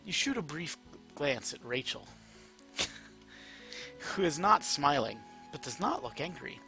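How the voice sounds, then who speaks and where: A man's voice: on the high side, sing-song, slow, sharp tone, faint music behind. A man reading a second-person story aloud as an amateur narrator.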